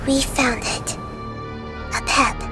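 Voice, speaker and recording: A woman's voice: high-pitched, steady tone, slow pace, music in the background. A woman voicing a small, child-like goddess in a video game, wise, earnest and compassionate. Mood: earnest, pleading. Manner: gentle, earnest, thoughtful.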